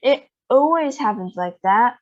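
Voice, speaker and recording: A woman's voice: on the high side, lively intonation, a little slow, a little mellow, pitched above the performer's own voice. A woman voicing an eccentric, weary candy maker in a read-aloud storybook, sighing and rueful. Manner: sighing, rueful, matter-of-fact. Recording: dull lo-fi recording.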